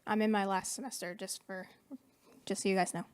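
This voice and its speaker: Young woman, student in the audience, brief question, slightly hesitant, mid pitch. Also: flat delivery, fairly quick, clear, resonant tone.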